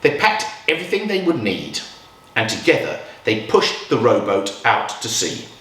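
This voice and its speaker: A man reading a picture book aloud to children in a measured, expressive storytelling style with dramatic pauses. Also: mid-range voice. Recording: roomy echo, distant from mic.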